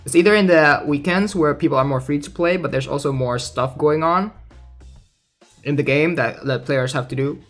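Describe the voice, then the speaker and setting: A man's voice: a little high, normal speed, fairly bright tone. A man giving casual commentary as he reads out game news, informal and thinking aloud. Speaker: gaming content creator. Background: background music.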